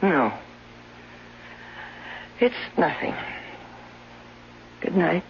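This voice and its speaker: Through a noisy, dull old radio recording comes a sickly wife in a 1970s radio drama. She speaks slowly, clinging and suspicious, with a plaintive, emotional plea in her words. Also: lowish voice, lively intonation, gravelly, exaggerated voice. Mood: guarded suspicion. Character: fearful, clinging invalid wife, suspicious and lovesick.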